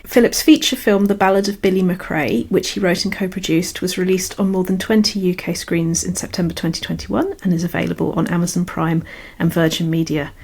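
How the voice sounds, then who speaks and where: A woman's voice: fairly low pitch, steady tone, brisk, gravelly, darkish tone, slightly rough, no room sound. A woman hosting a conversational interview, speaking in an effusive, enthusiastic way. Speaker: podcast host and interviewer. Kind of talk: warm introduction and conversational interview.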